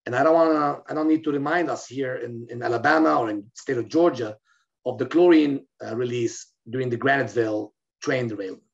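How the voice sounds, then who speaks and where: A man's voice: mid-range voice, fairly quick, vocal fry. A middle-aged man from Lebanon giving a recorded medical lecture over a video call, explaining steadily with fillers and restarts. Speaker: physician lecturer. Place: indoor room, in front of a plain wall. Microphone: webcam on a video call. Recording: slightly dull, lo-fi video call audio.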